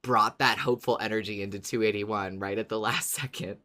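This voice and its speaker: A podcast co-host with a medium-pitched voice talks in casual fan-chat style. The delivery is expressive, often acting out reactions in a dramatized way. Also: a little fast.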